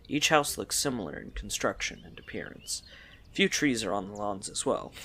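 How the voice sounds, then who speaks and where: A man's voice: lowish voice, gravelly, a bit bright. A man narrating a scripted audio story.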